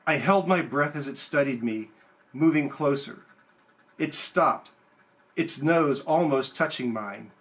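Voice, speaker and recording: A man's voice: on the high side, measured pace, fairly bright tone. A man who chats casually and reads his own poem at an open mic. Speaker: poet. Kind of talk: casual chat and poem reading.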